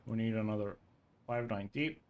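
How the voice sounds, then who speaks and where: A man's voice: fairly low pitch, a little fast, phone-quality sound. A man from Sweden with a Swedish accent, chatting casually on a game livestream, thinking aloud and reading chat. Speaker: streamer.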